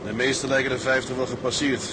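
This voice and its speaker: A man's voice: medium pitch, little pitch movement, fast, background noise, lightly acted. An actor playing a young boatswain in a radio drama, respectful to his superior, hesitant but candid. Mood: polite, deferential. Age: young adult. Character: young, frank, respectful boatswain from the towing trade.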